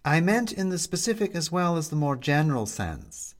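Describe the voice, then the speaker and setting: A man's voice: fairly high pitch, sing-song, moderate pace, voice pushed up. A man voicing the serious, mournful ghost of a young woman in a storybook, speaking formally and without humour.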